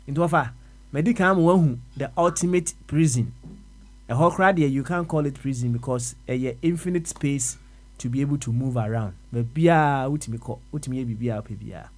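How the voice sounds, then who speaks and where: Brief: male radio host, mid-pitched, musing, questioning. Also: brisk. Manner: reflective, mixes English and Twi, asks probing questions. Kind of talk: casual radio discussion.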